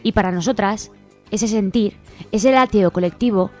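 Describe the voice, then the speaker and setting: Female radio presenter, Spain accent, medium pitch, scripted manifesto-style reading with music beneath. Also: normal speed, dark tone. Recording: music behind the voice.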